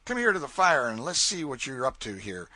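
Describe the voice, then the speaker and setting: A gruff raftsman boss questions a stowaway in a commanding, no-nonsense way, as a man reads him aloud. The voice is bright and slightly husky, with lively pitch, and the recording is close and dry. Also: a little fast, dry recording, full character voice. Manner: gruff, commanding, then lenient. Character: stern but fair older raftsman leader.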